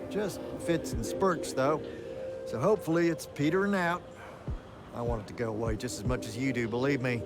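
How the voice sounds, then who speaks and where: A gruff old-hand mentor from a fantasy game voiced by a man, world-weary and wry. His mid-pitched voice rolls through folksy, colloquial phrases with music clearly behind it. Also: normal speed, close to an everyday voice. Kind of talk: dramatic fantasy video game acting.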